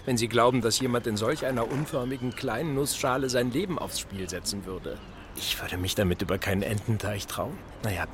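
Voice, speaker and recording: An actor playing a chatty, absent-minded older admiral in a period radio play, musing and jovial. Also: male voice, mid-range voice, background noise, close to an everyday voice. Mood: amused, musing.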